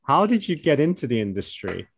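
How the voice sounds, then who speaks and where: A man's voice: medium pitch, sing-song, fairly quick, gravelly, breathy edge. A man co-hosting a podcast, interviewing a guest. He asks questions in a friendly way, often adding "like" and "right?". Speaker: podcast co-host. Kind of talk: hosted interview.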